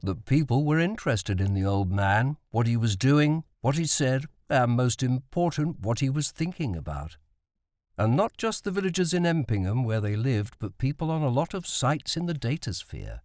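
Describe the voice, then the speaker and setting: A man's voice: medium pitch, very animated, normal speed, a little mellow, no room sound, clean studio sound. A man reading a novel as an audiobook narrator.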